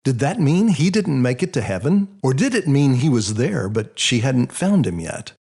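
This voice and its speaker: A man reading his own first-person story as a scripted audiobook, in an expressive, reflective storytelling manner. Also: medium pitch, moderate pace, vocal fry, slightly rough.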